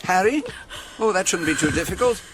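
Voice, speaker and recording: A man's voice: sits high, lively intonation, fairly quick, noisy background. A man acting a kindly, hearty British army major in a radio drama. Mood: surprised, reassuring.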